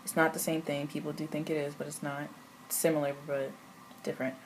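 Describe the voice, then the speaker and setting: A woman's voice: low-pitched, little pitch movement, fairly quick, a little hiss or hum, low-quality recording. A woman in her twenties from Haiti, French her first language, speaking with a Haitian accent and answering interview questions candidly. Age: 20s. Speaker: interviewee, immigrant student.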